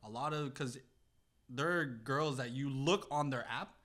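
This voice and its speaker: Bright-voiced young man chatting. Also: medium pitch, measured pace. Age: young adult. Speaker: podcast guest. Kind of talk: casual chat.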